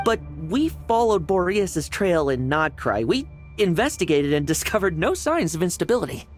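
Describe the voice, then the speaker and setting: A woman voicing an eager young female knight who talks in a brisk, upbeat, determined way in a video game. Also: on the low side, big pitch swings, faint music behind.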